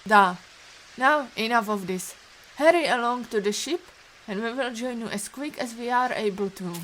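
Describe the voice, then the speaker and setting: A woman acting a brusque Russian-accented rescuer, high-voiced. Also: normal speed, fairly bright tone, natural voice, rough recording. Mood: firm, impatient. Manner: formal, clipped commanding phrasing. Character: resolute, brusque woman rescuer, protective and self-reliant. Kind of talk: audio drama acting. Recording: faint hiss with rain behind. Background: rain.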